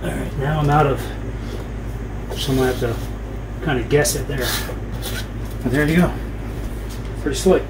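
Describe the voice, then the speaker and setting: A man's voice: mid-range voice, steady tone, moderate pace, long pauses, background noise. Plainspoken middle-aged man narrating handiwork. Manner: plainspoken, frank, talks while doing hands-on work. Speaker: sailing channel host. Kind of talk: casual how-to narration while working. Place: indoors in a home, kitchen and living room.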